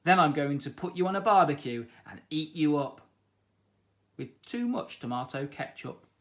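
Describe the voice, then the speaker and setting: Storybook pirate dinosaur, big greedy male T-Rex, loud and boastful, as a man reads him. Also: a little high, expressive, moderate pace, a little hiss or hum. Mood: gloating. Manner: loud, gloating, then pleading.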